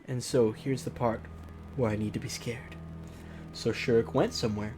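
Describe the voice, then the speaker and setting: A man's voice: mid-range voice, fairly even intonation, normal speed, over music. A man hosting a let's play, reading a visual novel aloud theatrically and breaking off into mocking, exclaimed asides. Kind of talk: narrated game let's play with joking asides.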